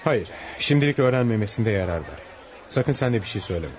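An actor playing a calm, formal male hospital doctor giving firm advice in a radio play. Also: lowish voice, brisk, a faint crowd, slight background noise. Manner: formal, advising firmly. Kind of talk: Turkish radio play acting.

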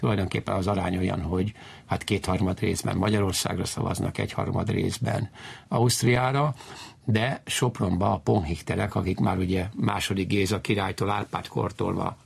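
A man explaining history informally in an interview, emphatic and digressive, often repeating his key points, with a gravelly, husky voice. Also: low-pitched, steady tone.

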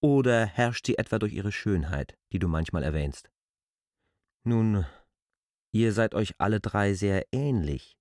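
An argumentative, judgmental outsider in a radio play. The voice is low and very animated, recorded dry and close. He speaks in an insistent, probing way. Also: a little slow, no echo. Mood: reproachful. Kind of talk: radio drama acting.

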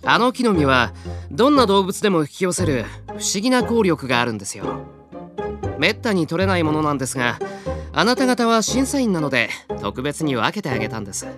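A man's voice: fairly high pitch, very animated, fairly quick. A man voicing a mischievous, teasing young fairy in an audio drama, speaking courteously with sly mockery. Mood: smug, polite. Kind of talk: animated Japanese audio drama acting. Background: music and animal sounds.

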